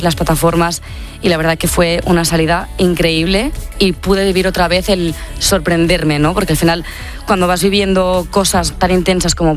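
A young woman from Catalonia, a singer chatting as a radio guest, upbeat and talkative with many fillers. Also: on the low side. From Catalonia, Spain. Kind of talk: casual radio interview.

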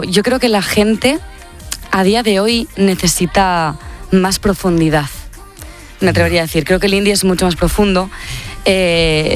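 Upbeat young woman from Catalonia. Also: on the low side. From Catalonia, Spain. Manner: chatty, upbeat, many fillers like "no?". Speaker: singer, interview guest. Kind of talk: casual radio interview.

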